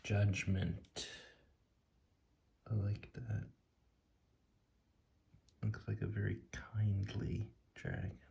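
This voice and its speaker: Soft-spoken man with heavy vocal fry. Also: lowish voice, little pitch movement, drawn-out words, pauses often, highs cut off, slight background noise. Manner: hesitant, musing, drifting into near-whispers. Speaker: tarot deck reviewer. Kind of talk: soft-spoken ASMR, impromptu commentary. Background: soft tapping and clicking.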